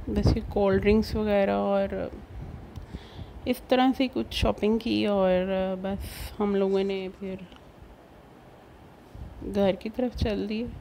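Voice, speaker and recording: A woman's voice: mid-range voice, dark tone, a little hiss or hum, low-quality recording. A woman with a Pakistani accent narrating a casual shopping vlog in a chatty way. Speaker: vlogger.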